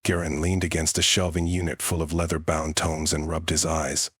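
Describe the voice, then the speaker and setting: A man's voice: very deep voice, completely flat delivery, normal speed, fairly bright tone, breathy edge, no room sound, clean studio sound. A man narrating a fantasy audiobook from a script.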